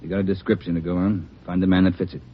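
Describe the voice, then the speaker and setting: An actor playing a brisk police chief who gives orders to his detectives in a 1950s radio drama. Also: deep voice, level tone, a little hiss or hum, natural voice. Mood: commanding. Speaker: police captain in charge. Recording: muffled vintage radio broadcast.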